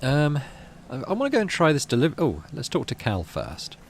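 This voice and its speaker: A man's voice: a little high, huge pitch swings, normal speed, a little hiss or hum. A man giving casual gameplay commentary, often muttering to himself as he plays. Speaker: gaming host. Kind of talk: casual gameplay commentary mixed with reading game text aloud.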